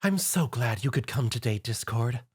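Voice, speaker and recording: A man voicing a timid, gentle female pegasus pony who speaks softly and shyly. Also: medium pitch, expressive, moderate pace, breathy edge. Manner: soft, meek and shy.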